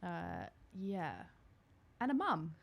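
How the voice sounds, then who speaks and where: A woman's voice: on the low side, wildly swooping pitch, takes it slowly, gravelly. A young adult woman from Australia, with an Australian accent tinged by New Zealand, talking casually and with self-deprecating humour as a podcast guest. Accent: Australian, partly New Zealand-tinged. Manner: chatty, self-deprecating, laughs easily. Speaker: podcast guest, style coach. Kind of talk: casual chat.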